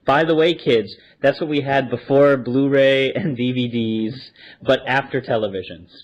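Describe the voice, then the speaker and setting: A man's voice: medium pitch, moderate pace, lo-fi sound. A man hosting a podcast in a casual interview style, with a jokey, playful manner. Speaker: podcast host.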